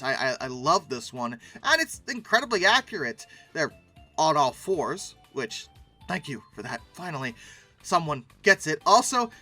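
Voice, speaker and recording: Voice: mid-range voice, sharp tone. A host giving casual, humorous commentary on pictures, with wry, exasperated asides and dramatic emphasis.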